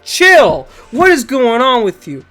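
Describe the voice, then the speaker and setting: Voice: medium pitch, huge pitch swings, slow, a bit bright. Stuffy-nosed streamer rambling to chat, faint music behind, kinda nasal. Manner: rambling, chatty, laughs often, talks to the chat. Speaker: Twitch streamer playing a video game. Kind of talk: casual live-stream chat with viewers.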